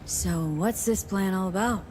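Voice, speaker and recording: A woman's voice: medium pitch, a little slow, darkish tone, a little hiss or hum, lightly acted, poor audio. An actress playing a streetwise, dry-witted female mercenary in a video game, talking in a cool, wry, casual way. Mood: probing, skeptical. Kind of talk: video game cutscene acting.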